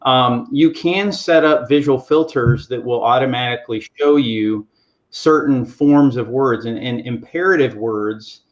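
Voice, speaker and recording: A man on a video call explaining a software tool in a halting, step-by-step way. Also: mid-range voice. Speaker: panelist, software coach. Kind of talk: casual explanation. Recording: dull lo-fi call audio.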